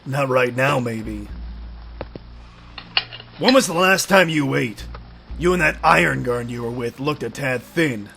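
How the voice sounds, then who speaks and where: A man acting a gravelly, wily outlaw chief. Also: mid-range voice, measured pace, long pauses, bright, forceful tone, a little hiss or hum. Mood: persuasive. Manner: folksy, shrewd, needling. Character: wily, grizzled leader of vampire-hunting outlaws. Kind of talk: audio drama acting. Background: music.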